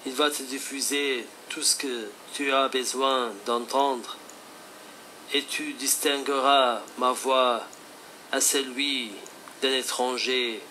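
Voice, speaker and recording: Slow, bright-voiced man acting as Jesus. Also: medium pitch, little pitch movement, a little hiss or hum, low-quality recording. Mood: solemn, instructive. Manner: slow, solemn, instructive. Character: Jesus speaking by telephone, calm fatherly divine teacher. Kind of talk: scripted religious phone dialogue.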